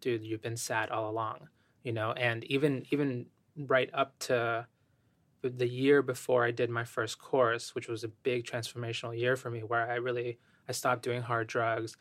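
A young man from Ecuador speaking as a podcast guest, soft-spoken and thoughtful, with many fillers as he reflects. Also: mid-range voice, level tone, moderate pace, dry recording. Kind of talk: reflective interview talk.

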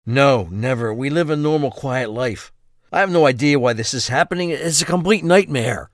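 A man acting an ordinary husband in a crime audio drama. The voice is medium in pitch, with a close, dry sound. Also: expressive, moderate pace, no echo, natural voice. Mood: distressed, defensive. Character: distressed, defensive husband of a kidnapped wife.

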